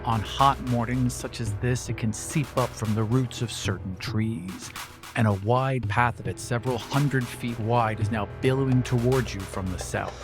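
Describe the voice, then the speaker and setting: A man's voice: medium pitch, steady tone, moderate pace, music in the background. A man narrating a tabletop roleplaying game as its game master and show host.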